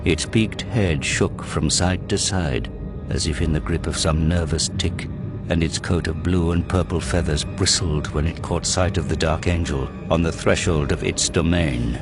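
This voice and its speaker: A man reading scripted dramatic narration for an audio drama, grave and measured. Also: rumbling low, over music.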